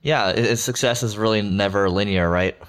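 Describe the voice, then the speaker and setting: A man's voice: on the low side, fairly quick, clear, resonant tone. A man hosting a business podcast, asking casual interview questions and often stumbling over his words. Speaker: podcast host.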